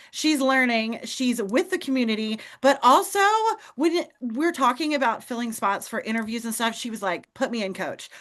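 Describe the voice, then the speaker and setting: A woman hosts a team call with upbeat, motivational energy. Her voice is bright and fairly high. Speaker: host.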